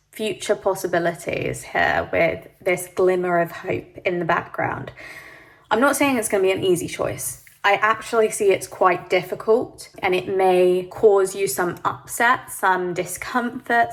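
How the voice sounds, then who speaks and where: Woman, tarot reader, sniffly, hay fever, gentle, reassuring, mid-pitched voice. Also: unhurried. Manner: gentle, reassuring, addresses viewer directly. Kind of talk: pick-a-card tarot reading.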